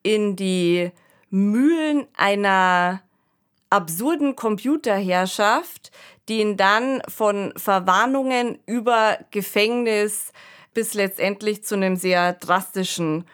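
Woman, mid-pitched voice, podcast host, conversational discussion. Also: takes it slowly, smooth.

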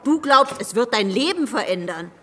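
An actress in a radio drama playing a devoted but sharp-tongued sister, insistent and reproachful. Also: female voice, on the high side, lively intonation, moderate pace, slight background noise. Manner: scolding, insistent.

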